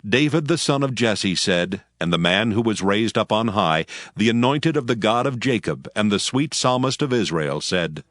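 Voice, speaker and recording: A man narrating a dramatized Bible reading in a measured, formal storytelling manner. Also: lowish voice, animated, fairly bright tone, no echo.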